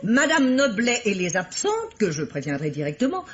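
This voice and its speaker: An actress playing a vain, grandiloquent older former stage star who presides over a charity circle in a French radio mystery. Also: female voice, mid-range voice, sing-song, normal speed, close to an everyday voice. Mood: haughty, officious. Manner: grandiloquent, gushing, declamatory. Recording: dull vintage radio recording.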